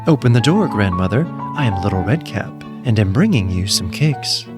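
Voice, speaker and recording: A man's voice: on the low side, sing-song, normal speed, loud music behind the voice. A man voicing a sly, menacing storybook wolf who coaxes deceitfully while posing as a little girl. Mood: coaxing, sly.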